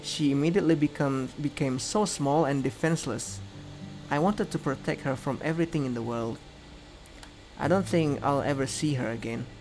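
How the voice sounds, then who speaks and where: A man's voice: mid-range voice, normal speed, a little mellow, soft background music, poor audio. A man reading the scripted narration and dialogue of a visual novel aloud. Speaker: video game player reading aloud.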